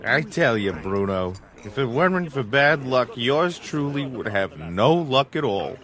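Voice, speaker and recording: A man's voice: mid-range voice, sing-song, a little slow, crowd noise. A man acting as a hapless, hard-drinking small-time crook in an audio drama, nervous, wheedling and self-pitying.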